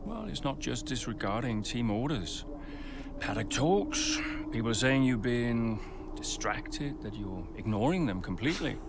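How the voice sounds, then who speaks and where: Racing game team boss: firm, blunt, natural, widely varied intonation, clear background noise. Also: male voice, medium pitch, lively intonation, a little slow. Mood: probing. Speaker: team principal. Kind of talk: naturalistic game cutscene acting.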